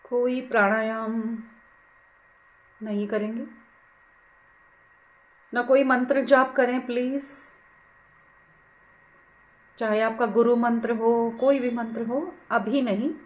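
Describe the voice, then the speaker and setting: A woman leading a guided meditation, giving soothing, gentle, unhurried instructions. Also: on the high side, steady tone. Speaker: meditation teacher.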